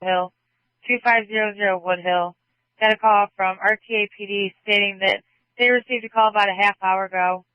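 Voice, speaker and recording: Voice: mid-range voice, steady tone, moderate pace, clear, resonant tone, dry recording, highs cut off. A man dispatching police, reading out procedural reports over a radio scanner feed. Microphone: police radio channel.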